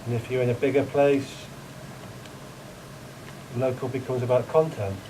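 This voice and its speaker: A man in the audience giving a thoughtful, measured point in a panel discussion. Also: medium pitch, fairly even intonation, noisy background. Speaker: audience member. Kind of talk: discussion contribution.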